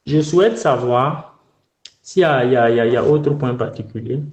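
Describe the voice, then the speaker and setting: A man's voice: mid-range voice, a little fast, a little mellow. A man with a West African French accent talks in a private phone conversation, hesitantly, often repeating his words. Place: indoors, in a bedroom on a phone call. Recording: echoey, dull phone call recording.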